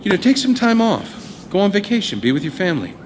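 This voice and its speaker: An actor playing an earnest, excitable lab colleague in an audio drama, conversational with a stammering hesitance. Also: male voice, sits high, brisk. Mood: awkward sympathy. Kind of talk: live audio drama acting. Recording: live recording with faint ambient noise.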